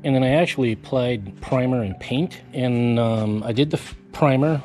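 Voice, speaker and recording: A middle-aged man explains his do-it-yourself work outdoors, relaxed and matter-of-fact. His pitch sits in the middle for a man. Background music plays behind him. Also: moderate pace. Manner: laid-back, plain step-by-step explaining. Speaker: RV renovation vlogger. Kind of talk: casual explaining.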